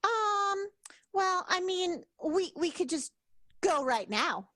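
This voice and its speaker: A woman plays a polite but calculating vaudeville performer in a radio comedy. Her voice is high and dryly recorded, careful and matter-of-fact with small hesitations. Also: big pitch swings, slow, pushed and bright, no room sound, clean studio sound, heavily acted. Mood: polite, scheming. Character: polite, calculating vain female 1920s performer. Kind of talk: improvised radio comedy acting.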